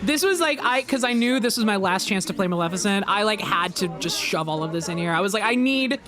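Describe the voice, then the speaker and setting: A woman giving casual cast commentary in a group chat, in an animated, giggly manner. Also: mid-range voice, fast, a crowd in the background.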